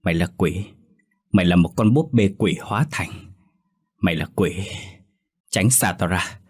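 A man's voice: deep voice, normal speed, darkish tone, breathy edge. A man voicing a terrified older woman who shrieks in panic about demons. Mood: frantic accusing.

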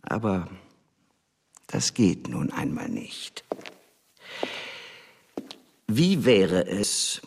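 Slow-talking, smugly cynical spymaster. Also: male voice, low voice, huge pitch swings, vocal fry, background noise, full character voice. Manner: lecturing, deliberate, condescending. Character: cynical, smug male diplomat spymaster, scornful and imperious. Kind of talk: German radio drama acting.

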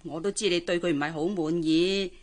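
Chatty older Hong Kong auntie played by an actress. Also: female voice, lowish voice, steady tone, fairly quick, a little mellow, natural voice. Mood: pleased, coaxing. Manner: coaxing, advice-giving, cheerfully resigned. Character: older unmarried housemaid, well-meaning chatty matchmaking auntie. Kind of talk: Cantonese radio drama acting.

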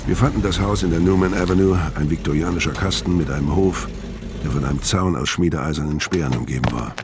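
An actor playing a world-weary career criminal, narrating a noir audio drama in a terse, deadpan way. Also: male voice, a bass voice, fast talker, background noise. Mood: tense, grim. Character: hard-boiled, world-weary male career criminal narrating his story. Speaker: first-person narrator. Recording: music bed under voice.

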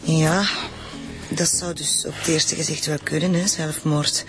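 A woman playing a brisk, friendly forensic doctor in a radio drama. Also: deep voice, flat delivery, loud music behind the voice. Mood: clinical.

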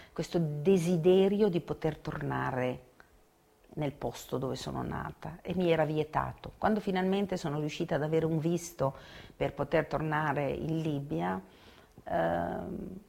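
An older woman giving reflective personal testimony in halting, measured phrases. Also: sits low, creaky, darkish tone.

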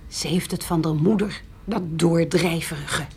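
An actress playing a caring farmer's wife, speaking in a soothing, careful way in a radio play. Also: female voice, lowish voice, unhurried, a little mellow, a little hiss or hum. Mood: cautious. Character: caring, careful middle-aged farmer's wife visiting her husband. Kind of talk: Dutch radio play dialogue.

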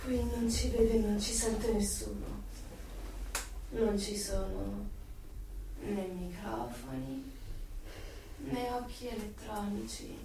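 A woman's voice: a little high, fairly even intonation, slow pace, vocal fry, long pauses. An actress playing a bold, blunt rebel woman in a dystopian radio drama, teasing and challenging as she speaks. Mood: teasing, reassuring. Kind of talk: radio play acting. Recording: very echoey, distant, faint noise.

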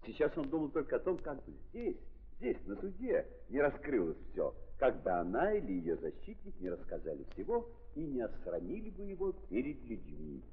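A man narrating as a grave, ironic storyteller in a theatrical 1930s stage style, with emphatic dramatic pauses. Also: mid-range voice, huge pitch swings, a little hiss or hum. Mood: grave, accusing. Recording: muffled 1930s recording, long reverb.

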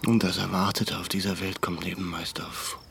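Grave leader, low, gravelly, whispering, resolute. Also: male voice, little pitch movement, normal speed, a little hiss or hum. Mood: hushed. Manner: hushed, grave, often whispering. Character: weary male resistance leader, grave and resolute. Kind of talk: radio drama acting.

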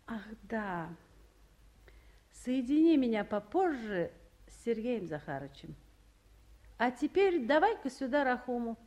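A woman's voice: on the high side, very animated, unhurried, long pauses, darkish tone. An actress playing a busy woman official, motherly yet commanding, in a 1950s radio play. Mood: distracted, halting. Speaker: official in charge.

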